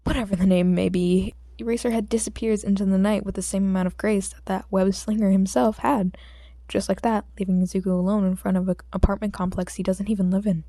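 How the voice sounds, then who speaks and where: A woman's voice: medium pitch, level tone, a little fast, dark tone, no room sound. A woman with a sore throat, speaking as an amateur audiobook reader in an informal, homemade style. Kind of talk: story narration and casual chat with listeners.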